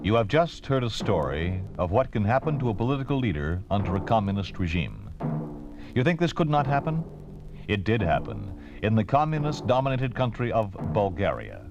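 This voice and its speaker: An American man delivering scripted narration as a solemn, formal 1950s radio announcer. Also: lowish voice. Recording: dull, band-limited old radio recording. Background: dramatic music.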